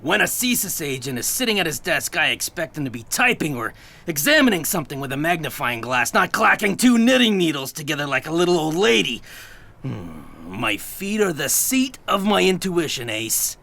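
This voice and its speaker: An irritable agency chief in a comic audio drama, blustering and rambling. The voice is highish for a man, forceful and creaky, with an eccentric, exasperated air. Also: big pitch swings, moderate pace, exaggerated voice. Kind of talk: comic sci-fi audio theatre acting.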